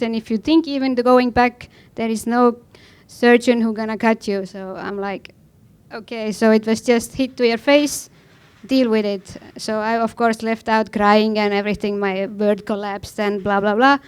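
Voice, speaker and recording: Clear-voiced woman with an Estonian accent. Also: a little high, flat delivery, moderate pace, few pauses. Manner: blunt, informal, non-native phrasing. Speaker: panel guest, professional snowboarder. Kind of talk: conversational personal account on a panel.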